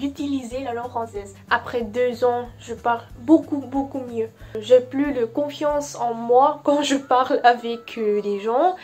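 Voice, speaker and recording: Young woman, Vietnamese accent, high voice, careful and hesitant delivery, faint music underneath. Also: a little mellow. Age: young adult. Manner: slow, careful, with frequent hesitations. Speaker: vlogger. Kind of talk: casual talk to camera.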